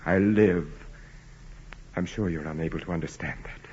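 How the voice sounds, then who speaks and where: Haughty, tormented aristocrat with husky voice. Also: male voice, low voice, normal speed, darkish tone. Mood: bitter, tormented. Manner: haughty, formal, sardonic. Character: arrogant, tormented aristocratic landowner husband, coldly mocking. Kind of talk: 1940s radio acting. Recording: muffled vintage 1940s radio recording.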